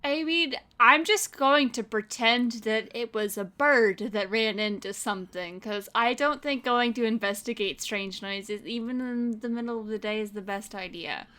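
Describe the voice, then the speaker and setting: Female game player with bright tone, dry and matter-of-fact, improvising in casual conversation. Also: fairly high pitch, moderate pace, few pauses. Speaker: tabletop roleplay player. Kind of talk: casual improvised roleplay chat.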